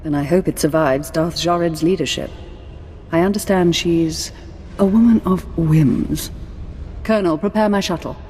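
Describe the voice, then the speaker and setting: An actress playing a commanding, seductive Sith lord in a video game, speaking in a measured, imperious way. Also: female voice, on the low side, warm tone, slight background noise, natural voice. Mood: imperious, satisfied. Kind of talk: dramatic video game acting. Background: background music.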